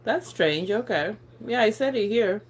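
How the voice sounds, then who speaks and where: A woman's voice: mid-range voice, expressive, measured pace. Chatty woman with an accent on a livestream. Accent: non-native English accent. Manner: chatty, rambling, laughs often, stumbles over words. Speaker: lifestyle channel host chatting on a livestream. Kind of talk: casual live chat reading viewer comments. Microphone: phone.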